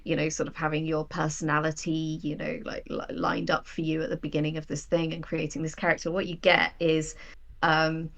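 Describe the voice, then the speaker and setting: A woman guest on a podcast, a writer and game creator talking casually and telling things in an animated, quote-acting way. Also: low voice, fairly quick, gravelly.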